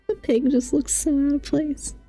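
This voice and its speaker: Woman, game streamer, slightly high pitch, mellow tone, casual, playful, giggly. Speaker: live streamer.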